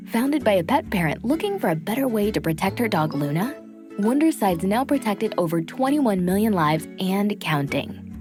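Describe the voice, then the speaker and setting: A woman reading a scripted advertisement as an announcer. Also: medium pitch.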